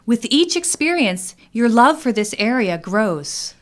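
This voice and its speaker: A woman's voice: fairly high pitch, very animated, unhurried. Woman narrating a scripted radio piece.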